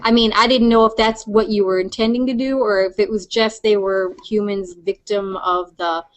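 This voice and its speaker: Outspoken woman hosting, mid-pitched voice. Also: fairly even intonation, moderate pace. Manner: outspoken, mouthy, chatty. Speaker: podcast host. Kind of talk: casual chat interview.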